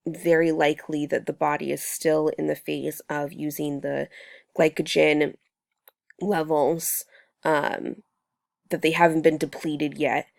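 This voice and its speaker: A young woman student with a cold, explaining coursework in a measured way with frequent ums and restarts. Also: low-pitched, gravelly, dry recording, studio quality. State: sick with a cold, voice strained. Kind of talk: recorded class presentation.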